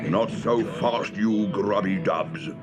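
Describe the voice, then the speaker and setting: A man voicing a commanding baron of laundrette sprites in a children's story, with slow, declamatory delivery. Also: lowish voice, over loud music. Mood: defiant.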